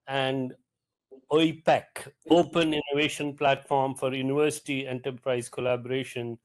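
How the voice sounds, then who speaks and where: Hesitant man introducing a guest. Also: medium pitch. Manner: hesitant, many fillers. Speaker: host introducing a guest speaker. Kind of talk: formal introduction of a speaker.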